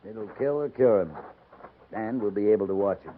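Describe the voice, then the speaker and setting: An actor playing a stern, fair-minded American cavalry captain in a 1950s radio drama, speaking in a clipped, commanding way. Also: male voice, fairly low pitch, normal speed, close to an everyday voice. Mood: measured, wry. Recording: muffled, noisy 1950s radio recording.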